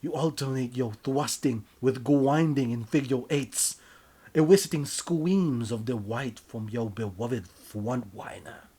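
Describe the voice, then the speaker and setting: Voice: medium pitch, a little slow, mellow, slightly rough. A narrator reading their own comic story in a mock-serious, theatrical, scripted style.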